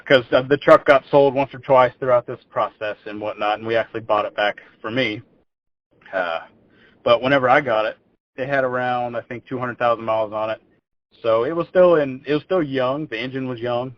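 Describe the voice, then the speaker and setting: Guy in his twenties, podcast guest, rambling storyteller, dull lo-fi sound. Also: medium pitch. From Texas. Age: 20s. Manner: rambling, repeats words when starting phrases. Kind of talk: casual interview storytelling. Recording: dull lo-fi audio.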